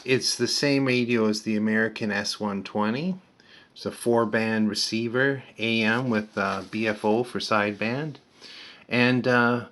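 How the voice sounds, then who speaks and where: A man's voice: lowish voice, fairly bright tone. An older man casually walking through a repair in a plain-spoken, explanatory way as a hobbyist restorer. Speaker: vintage radio restorer and presenter. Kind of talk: casual hands-on repair walkthrough.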